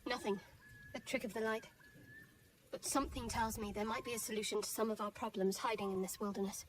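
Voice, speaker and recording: An actress playing a secretive young adventurer in a video game, with a dry, measured, cagey manner. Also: female voice, fairly high pitch, fairly even intonation, recorded from a distance, a little hiss or hum, close to an everyday voice. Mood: wary, intrigued. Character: guarded, wry, secretive young woman adventurer. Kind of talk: video game character acting.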